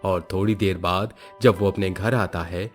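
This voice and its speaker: A low-voiced man delivers scripted storytelling narration. Soft music plays behind his voice throughout. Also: fairly even intonation, a little slow. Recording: background music under the voice.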